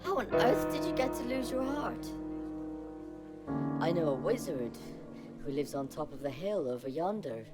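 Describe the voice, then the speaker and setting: A woman's voice: deep voice, big pitch swings, moderate pace, pauses often. A woman voicing a small, kind girl in a storybook skit. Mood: concerned. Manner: curious, sympathetic. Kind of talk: storybook character in a song skit. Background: loud music.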